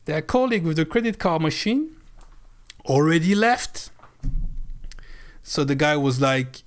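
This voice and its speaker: A man streaming live and spinning a story with a slightly higher than usual voice. He swears freely and throws himself into acting out both sides of a shouting match. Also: measured pace. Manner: animated, swears freely, acts out heated arguments.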